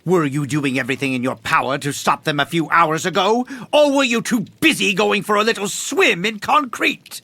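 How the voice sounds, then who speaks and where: An actor playing a haughty, condescending rich male tycoon in an audio drama, with a raspy, theatrical delivery. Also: medium pitch, normal speed, hardly any pauses, sharp tone, dry recording. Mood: irritated, scolding.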